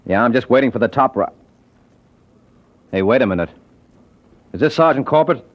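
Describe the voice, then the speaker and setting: An actor playing a tough, unflappable private detective with a dry, wisecracking, slangy way of talking in an old-time radio drama. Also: male voice, medium pitch, expressive, normal speed, natural voice. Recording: dull, lo-fi old radio recording.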